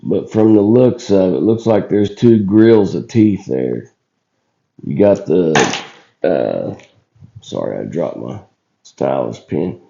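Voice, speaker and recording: A man with a rough, scratchy, hoarse voice, recovering from illness, giving casual commentary on footage as a channel host in a folksy, halting, colloquial way. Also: low voice, animated. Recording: dull lo-fi recording.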